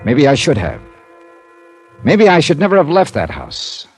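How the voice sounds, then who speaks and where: A man's voice: fairly high pitch, huge pitch swings, a little slow, darkish tone, soft background music, full character voice. A man playing a world-weary, wry insurance investigator in a 1950s radio detective drama. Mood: brooding. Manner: wry, hard-boiled. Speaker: star and narrator of radio detective serial.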